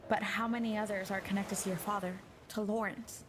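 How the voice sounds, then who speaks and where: A woman's voice: mid-range voice, flat delivery, a little fast, background noise, lightly acted. A woman playing a determined, assured female secret agent in an audio drama, speaking assertively. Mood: guarded, pressing. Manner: assertive, insistent.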